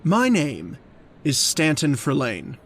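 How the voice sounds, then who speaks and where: A man's voice: a little high, wildly swooping pitch, slow, a bit bright, a little hiss or hum, heavily acted. An actor playing an uneasy, hesitant man who hunts his victim, in old-time radio drama style. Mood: polite, awkward.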